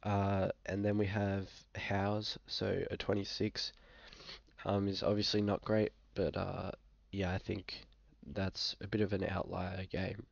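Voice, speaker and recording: A man's voice: low-pitched, completely flat delivery, slow pace, gravelly, no room sound, lo-fi sound. A young man with an Australian accent, a bit sick, talking casually and hesitantly through a screen-share review with many fillers. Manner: laid-back, frequent fillers, hesitant. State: sick, occasional coughing. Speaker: fantasy football vlogger. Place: small room indoors.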